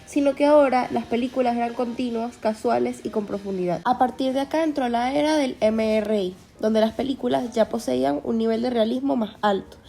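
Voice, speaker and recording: A woman's voice: a little high, a little fast, vocal fry, hardly any pauses, warm tone. A young woman giving a scripted educational narration as a student narrator.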